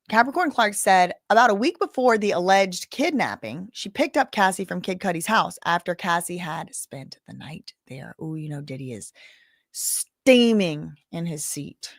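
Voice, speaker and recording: A woman's voice: fairly low pitch, dry recording, studio quality. A woman hosting a casual livestream, recapping court testimony, reading the chat and reacting with exclamations. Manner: chatty, reads chat, gasps and exclaims. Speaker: livestream host recapping a trial.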